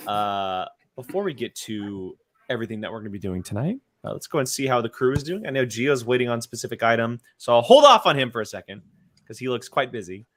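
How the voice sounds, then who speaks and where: A man's voice: medium pitch, expressive, quick pace, fairly bright tone, slight background noise. A man hosting a casual live group chat, with a teasing, playfully exasperated way of talking. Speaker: host.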